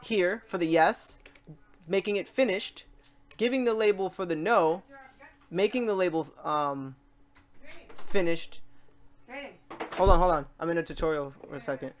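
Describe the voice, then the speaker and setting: A tutorial host with an androgynous, mid-pitched voice walks through software steps in an informal way. The delivery is conversational and unscripted, with the speaker often talking through each step to themselves. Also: a little slow, long pauses. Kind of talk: casual software tutorial, thinking aloud.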